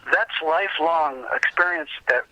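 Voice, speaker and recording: A man with a husky voice chatting casually as an interview guest, in a halting, earnest, rambling way. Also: on the high side, no room sound, dull audio. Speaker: podcast guest, old-time radio enthusiast.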